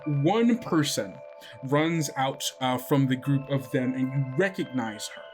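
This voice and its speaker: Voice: mid-range voice, animated, unhurried, over music. Playful game master with mid-range voice. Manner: playful, teasing. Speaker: game master and podcast host. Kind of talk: casual chat and improvised game narration.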